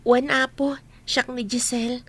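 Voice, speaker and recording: A woman's voice: fairly high pitch, flat delivery, measured pace, a bit bright, breathy edge, no echo, faint music behind. A Filipino woman playing a polite, naive young housemaid in a radio comedy drama. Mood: meek, polite. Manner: meek, respectful.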